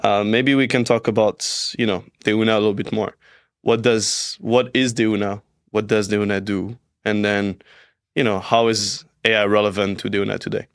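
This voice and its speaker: A man hosts a podcast with a lower than usual voice, recorded close and dry with no room sound. He asks long, loose questions and keeps checking in with "right?" and "you know". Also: level tone, moderate pace, smooth, no room sound. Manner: conversational, hedging, frequent "right?" and "you know". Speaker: podcast host. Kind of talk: casual podcast interview.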